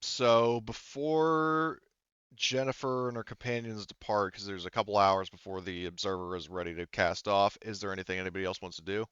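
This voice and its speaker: Low, smooth-voiced game master, slightly muffled. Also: male voice, steady tone, moderate pace, a bit bright, no room sound. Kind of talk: casual tabletop game narration.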